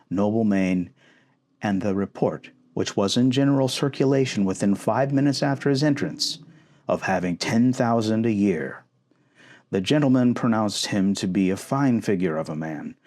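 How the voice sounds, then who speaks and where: A man reading a classic novel aloud as expressive storybook narration for an ASMR recording. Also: on the low side. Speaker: audiobook-style narrator.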